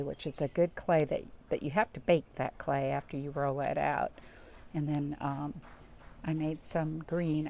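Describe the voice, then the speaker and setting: A woman with a fairly low voice leads storytime for young children. She uses simple, repetitive phrasing and speaks straight to her little listeners. Faint room noise sits behind her. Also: vocal fry. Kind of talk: read-aloud storytelling and craft talk for young children.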